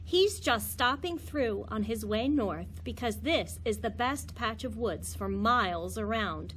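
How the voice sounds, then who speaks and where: A woman's voice: fairly high pitch, animated, a little slow, a bit bright, a little hiss or hum. A woman reading a children's story aloud, with faint ambience in the recording.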